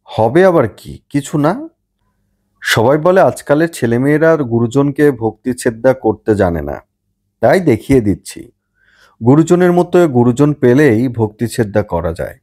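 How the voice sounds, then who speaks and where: A man's voice: mid-range voice, lively intonation, a little slow, darkish tone. A young grandson in a storybook, voiced by a male narrator, all mock-wounded innocence. He teases and wheedles in a cajoling way. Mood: defiant teasing.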